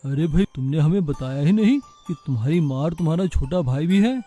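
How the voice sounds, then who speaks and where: A man's voice: a little high, lively intonation, a little fast, music in the background, close to an everyday voice. A man voicing a genial older company owner in a cartoon story, fatherly and familiar. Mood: mildly reproving.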